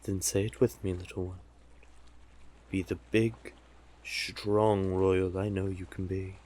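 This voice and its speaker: A man's voice: sits low, flat delivery, warm tone. A man voicing a cunning male sea witch who coaxes and teases the listener with condescending endearments in a scripted roleplay audio drama. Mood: knowing, persuasive. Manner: slow, teasing, condescending endearments, deliberate pauses. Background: ambient sound effects.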